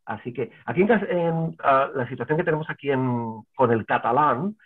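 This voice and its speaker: A man from Spain talking in a panel discussion over a video call, halting and searching for words. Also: mid-range voice, slightly rough. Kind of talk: casual discussion. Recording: tinny phone-like call audio.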